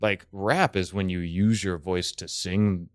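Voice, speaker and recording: A man with an American accent and a very low voice chats as a podcast guest. He explains his ideas thoughtfully and conversationally. The sound is dry and close. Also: steady tone, measured pace, no echo. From Texas, United States.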